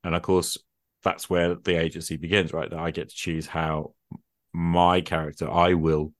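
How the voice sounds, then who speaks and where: A man with a very low voice talks casually, thinking aloud as he goes. The recording is close and dry, with next to no room sound. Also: level tone, normal speed, no room sound. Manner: informal, thinking aloud, peppered with "you know" and "like". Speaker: tabletop role-playing gamer in discussion. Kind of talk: casual conversational discussion.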